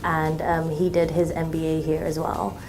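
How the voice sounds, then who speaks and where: A woman in her twenties from Malaysia, a student talking in an interview, relaxed, with many ums and restarts. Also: low voice, moderate pace, low-quality recording. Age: 20s. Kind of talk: interview testimonial.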